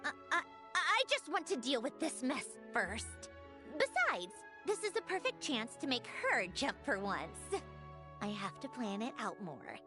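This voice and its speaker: A woman voicing a determined, businesslike young woman in a video game, expressive and purposeful. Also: high-pitched, a little slow, music in the background.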